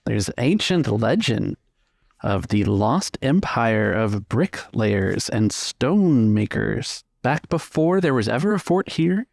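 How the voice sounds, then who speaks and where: A man's voice: mid-range voice, very animated, slow, gravelly, a little mellow, no echo, exaggerated voice. A man voicing a prim, huffy tour guide who lectures officiously in a tabletop game. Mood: lecturing, proud. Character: prim, huffy male tour guide reciting dubious local history.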